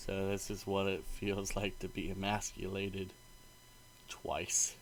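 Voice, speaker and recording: A man reading a visual novel's narration aloud as a gaming host, expressive and informal. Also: low voice, slow. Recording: faint game music behind.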